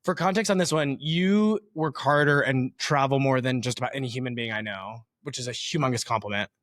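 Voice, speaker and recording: A podcast host asking quick, quiz-style questions and reacting briefly to the answers. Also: mid-range voice, a bit bright, no room sound, clean studio sound. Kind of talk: rapid-fire interview questions.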